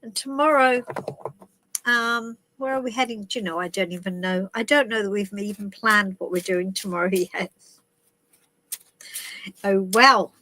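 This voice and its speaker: Bright-voiced woman with a head cold. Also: mid-range voice, measured pace, long pauses, poor audio. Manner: chatty and rambling, with many ums and restarts. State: has a head cold, stuffy and coughing. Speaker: tarot reader hosting a live stream. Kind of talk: casual live-stream chat and card reading. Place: in the woods while travelling.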